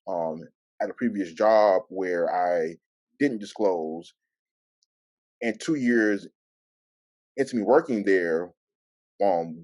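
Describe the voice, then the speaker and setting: A man with a lowish, mellow voice speaks slowly and reflectively. His stutter makes him stall and repeat words, yet he keeps a measured delivery. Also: no room sound. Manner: halting, measured, frequent stalling. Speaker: panelist sharing advice. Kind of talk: reflective advice and personal anecdote.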